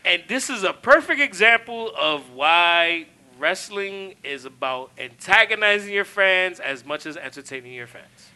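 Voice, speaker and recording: Male co-host for wrestling chat: fairly high, intensely bright voice, conversational and wry. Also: animated, unhurried, clear, resonant tone. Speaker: podcast co-host.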